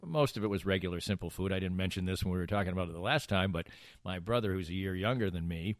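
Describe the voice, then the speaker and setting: A man's voice: low-pitched, fast, no echo, studio quality. A middle-aged man in casual banter, rambling and emphatic, often repeating himself as he goes. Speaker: radio show and podcast host.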